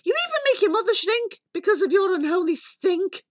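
A woman voicing a shrill, scolding, greedy mother in a storybook tale, shrieking and exasperated. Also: big pitch swings, fairly quick, a bit bright, dry recording, studio quality, exaggerated voice.

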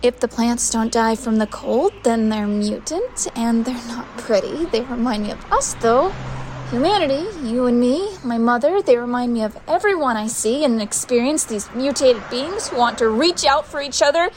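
A woman's voice: a little high, animated, moderate pace, darkish tone, a little hiss or hum, lightly acted. A woman acting in an audio drama as an edgy, defensive young woman who leans on pills. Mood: bleak, agitated. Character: edgy, defensive young pill-dependent woman with bleak thoughts.

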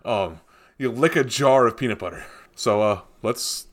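Casting: casual guy co-hosting a beer show, chatty and meandering, medium pitch. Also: unhurried. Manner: rambling, tangential, with many fillers and restarts. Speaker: podcast co-host reviewing beer. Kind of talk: casual chat.

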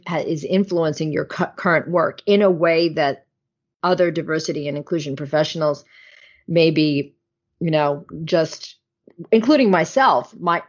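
A woman hosting an interview, asking long questions with many restarts. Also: low voice. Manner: wordy, searching questions with restarts. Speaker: interview host. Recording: dull lo-fi audio.

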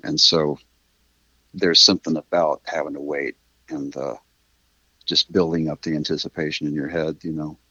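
A man's voice: extremely low pitch, flat delivery, moderate pace, long pauses, pushed and bright, slightly rough, no room sound, muffled recording. A middle-aged man chatting reflectively as an interview guest, rambling thoughtfully and correcting himself as he goes. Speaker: interview guest, writer of a radio drama. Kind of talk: casual reflective interview chat.

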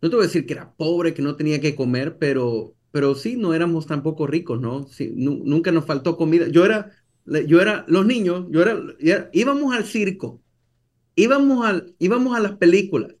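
A man's voice: on the high side, lively intonation, a little fast, a little mellow. A man with a Salvadoran accent telling his story as a podcast guest, repeating phrases and adding tag questions. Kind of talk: conversational personal storytelling.

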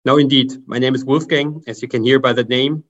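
German-accented man, mid pitch, measured and formal; lo-fi call audio. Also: fairly even intonation. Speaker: guest author, procurement consultant. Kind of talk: interview answers. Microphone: video call.

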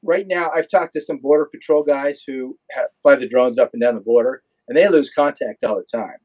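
A man's voice: medium pitch, brisk, darkish tone, low-quality recording. An older American man, an airline pilot being interviewed, explaining things in a conversational, matter-of-fact way. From United States.

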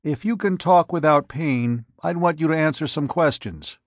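A man voicing a calm, emotionless doctor who asks short, quiet questions. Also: fairly high pitch, normal speed, dry recording, clean studio sound, voice pushed up, natural voice. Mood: probing. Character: calm, cold male doctor-investigator.

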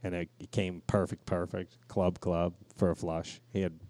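A man's voice: low voice, little pitch movement, normal speed, mellow, no echo. A middle-aged man co-hosting a casual podcast, telling stories with swearing and repeated words for emphasis. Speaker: podcast co-host. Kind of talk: casual podcast banter and storytelling.

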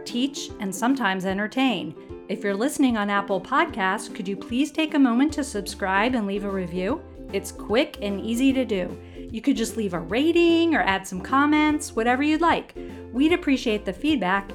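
A woman hosting a podcast, reading a scripted intro and asking friendly, upbeat questions. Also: fairly high pitch. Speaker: podcast host.

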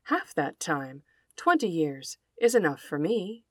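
A woman's voice: fairly low pitch, very animated, unhurried, no echo, voice pushed down. Woman voicing a faithful beaten-down dog, sorrowful, raised voice, dry sound. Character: loyal, mistreated dog, sorrowful.

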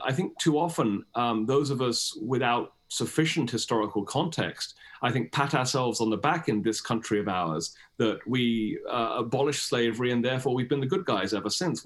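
British man explaining at length, academic style. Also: medium pitch. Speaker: history teacher and academic, interview guest. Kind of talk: explanatory interview talk.

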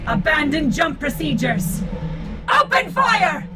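An actress playing a fierce, imperious cult priestess who commands a warship in an audio drama. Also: female voice, high-pitched, big pitch swings, takes it slowly, exaggerated voice. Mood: commanding. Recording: loud music behind.

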